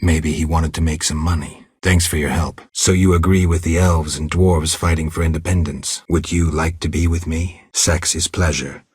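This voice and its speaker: A man playing a stoic, blunt monster hunter in a video game, with a gravelly, husky voice and a terse, deadpan manner. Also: a bass voice, normal speed, few pauses, no room sound, studio quality, full character voice. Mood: level, terse. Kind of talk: video game dialogue acting.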